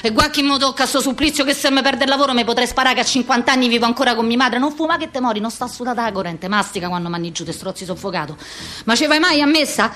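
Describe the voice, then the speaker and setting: A woman's voice: on the high side, fast, pushed and bright. A woman performing a theatrical slang monologue as a harried, foul-mouthed office worker around fifty, with a Roman accent and a coarse, rhythmic rant. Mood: bitter, exasperated.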